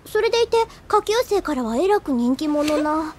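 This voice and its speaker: A high, girlish voice as an earnest teenage schoolgirl. She is chatty and easily flustered, in the style of an anime radio drama, with music underneath. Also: expressive, moderate pace, a little hiss or hum. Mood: chatty, puzzled. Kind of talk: Japanese anime audio drama acting.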